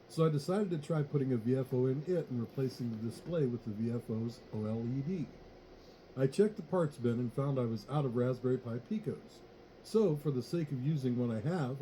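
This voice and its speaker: A man narrates a scripted how-to in a very soft-edged, dark voice at a middle pitch. His delivery is measured and matter-of-fact, with faint noise in the recording. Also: rough recording. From United States. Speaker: electronics tutorial narrator.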